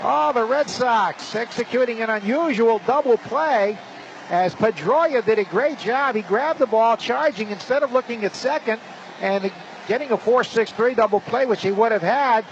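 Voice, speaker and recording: A man's voice: very high-pitched, moderate pace. A man working as a play-by-play announcer, giving live baseball commentary in the style of a radio broadcast. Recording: slightly dull broadcast, faint stadium noise.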